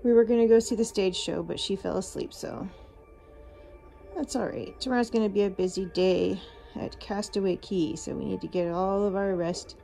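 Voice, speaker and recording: A woman in her thirties, a mother, vlogging casually on a phone with a chatty, relaxed manner. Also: mid-range voice, normal speed, gravelly, faint music behind. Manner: chatty, relaxed, gently encouraging. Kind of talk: casual vlog narration. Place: cruise ship dining room and cabin.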